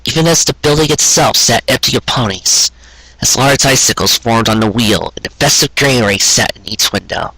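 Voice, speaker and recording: Husky, bright-voiced man reading a story. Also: medium pitch, no room sound, low-quality recording. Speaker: fan fiction audiobook reader. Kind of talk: scripted story narration.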